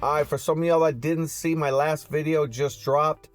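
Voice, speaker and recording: A man presents car news as a casual YouTube host. His voice sits a little high and his talk is slangy and chatty, addressed straight to viewers, with music playing behind him. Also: flat delivery, moderate pace. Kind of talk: casual vlog commentary.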